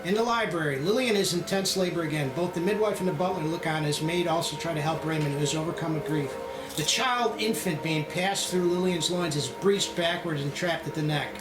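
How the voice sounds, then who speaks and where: A man with a slightly high voice reads screenplay narration dramatically. The room is echoey, and music plays clearly behind him. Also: brisk. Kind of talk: scripted screenplay narration at table read.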